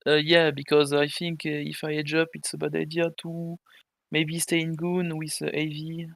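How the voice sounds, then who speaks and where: A French man speaking English with a French accent, a tournament player answering interview questions haltingly while searching for words. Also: a little high, completely flat delivery, gravelly, a bit bright, no echo. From France. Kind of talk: casual interview over voice chat.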